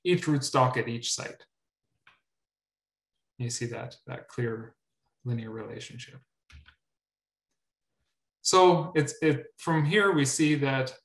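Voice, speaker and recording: Young male researcher, mid-pitch, webinar delivery, stumbles and repeats, roomy webcam sound. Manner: repeats words often, many ums. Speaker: researcher presenting at a grower meetup. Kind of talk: webinar presentation. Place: indoor room. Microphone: webcam on video call. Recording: roomy echo.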